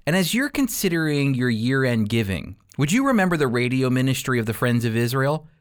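A man's voice: mid-range voice, sing-song, fairly quick. A man hosting a radio show and teaching the Bible, emphatic and repeating key points for stress.

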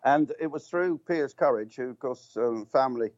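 An older man reminisces on air, rambling through anecdotes and stumbling over restarts. His mid-pitched voice comes over a slightly muffled, dull line. The sound is dry, with no room around it. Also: moderate pace, darkish tone, no echo. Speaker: guest motorsport commentator. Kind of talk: reminiscing radio talk.

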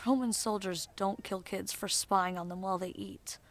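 A woman's voice: medium pitch, steady tone, normal speed, lightly acted. A woman voicing a brash, scornful, boastful young village boy in an audio Bible drama, taunting and bossy. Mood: scornful, bold.

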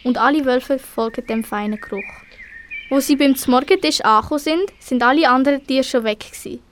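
A female narrator with a high voice and a Swiss German accent reads a children's animal story. The amateur recording carries faint background noise. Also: moderate pace. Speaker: radio play narrator.

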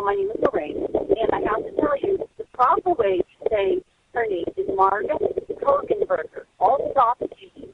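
A woman leaves a quick, teasing voicemail while sitting in her car. Her voice is very high, lively and a bit gravelly. It comes through a thin, tinny phone line. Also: dry recording. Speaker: listener calling in a voicemail. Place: in a parked car.

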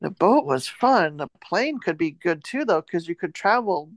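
A woman's voice: lowish voice, expressive, moderate pace, no room sound. American woman chatting in a dry room. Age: middle-aged or older. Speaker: podcast co-host. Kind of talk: casual chat.

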